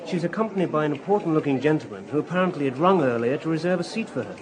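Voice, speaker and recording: An actor playing an eager, efficient, respectful police inspector in a radio drama. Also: male voice, fairly high pitch, lively intonation, very fast, a crowd in the background, natural voice. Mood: efficient, helpful. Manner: businesslike, respectful. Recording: clear background ambience noise.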